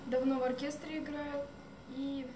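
A woman's voice: sits high, level tone, normal speed. An eighteen-year-old woman briefly introducing herself in an informal way. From Pskov, Russia. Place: indoor cafe, seated at table, camera mic at a distance. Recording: echoey cafe, distant mic, background noise.

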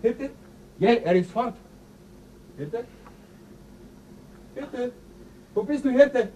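A man's voice: very high voice, expressive, extremely slow, mellow, a little hiss or hum, exaggerated voice. An actor playing a troubled male astronaut crew member in a radio play, searching and frantic. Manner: searching, increasingly frantic calling.

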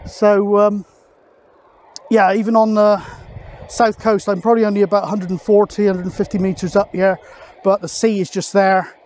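A man's voice: high voice, little pitch movement, normal speed, darkish tone, slight background noise. A British man in his forties chatting casually to camera as a vlogger, recorded outdoors on an open hilltop. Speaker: vlogger, CB radio hobbyist. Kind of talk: casual outdoor vlog talk.

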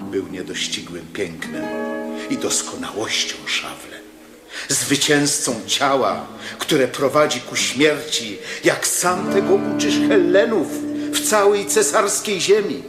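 An actor playing an earnest, persuasive missionary companion in a radio drama. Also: male voice, fairly high pitch. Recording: echoey radio drama studio. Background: music.

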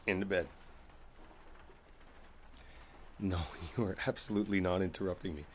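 A man playing a gentle, reassuring boyfriend in an intimate ASMR roleplay, speaking softly and without hurry. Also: deep voice, natural voice. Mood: soothing, coaxing. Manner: soft, unhurried, soothing. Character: gentle, reassuring musician boyfriend coaxing partner to sleep. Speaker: roleplay audio performer. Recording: steady background noise, rain sounds. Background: rain ambience.

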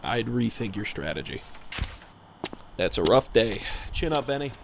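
A man playing a firm, cynical agent in an animated short, talking bluntly and dismissively. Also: fairly low pitch, measured pace, gravelly, heavy background noise, full character voice. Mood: dry. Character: firm, cynical male agent handling a reluctant employee. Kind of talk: animated short film dialogue.

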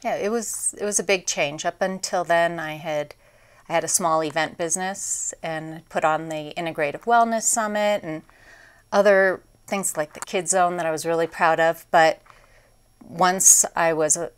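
A woman in her forties, a town mayor, answering interview questions in a measured, upbeat way in a small studio. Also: lowish voice, clean tone. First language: English. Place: small TV studio set, indoors.

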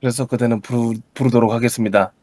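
A man with a cold speaks in a husky, hoarse voice, replying meekly and apologetically with short formal answers. His pitch is ordinary for a man, and he sounds subdued and deferential throughout. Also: fairly even intonation, fast talker, darkish tone, dry recording. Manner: apologetic, meek, clipped yes-answers. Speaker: caller, stream crew member.

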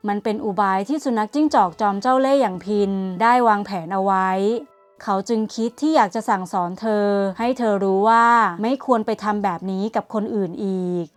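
A woman narrating a bedtime story in a soft, unhurried way. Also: medium pitch, faint music behind.

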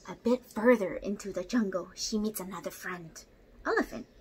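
A young woman reading a picture book aloud expressively at home, pausing to ask child listeners questions. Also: mid-range voice, slight background noise. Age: young adult. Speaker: storyteller for children. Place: small room at home, indoors.

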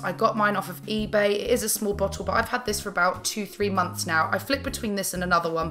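A woman in her thirties, slightly croaky, chatting enthusiastically to camera about her favourite makeup from a small room at home. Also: mid-range voice, pushed and bright. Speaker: beauty vlogger. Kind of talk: casual favourites haul chat. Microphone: camera mic, close framing.

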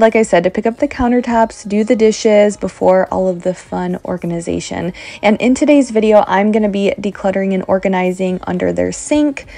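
A woman's voice: mid-range voice, mellow, no echo. A young woman narrating her cleaning vlog in a chatty, encouraging voice-over. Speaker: vlogger.

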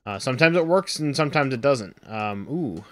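A man in his thirties with a raspy, sore voice, rambling through casual live-stream commentary at home with lots of ums. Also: mid-range voice, lively intonation, a little fast. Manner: rambling, full of ums and uhs. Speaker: game streamer.

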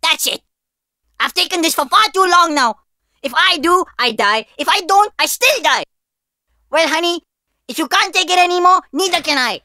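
A woman voicing a small, cunning, put-upon male cartoon rabbit with an excitable manner. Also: very high voice, dry recording, clean studio sound, full character voice. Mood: exasperated. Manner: pleading, quick-witted, excitable. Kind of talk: animated cartoon acting.

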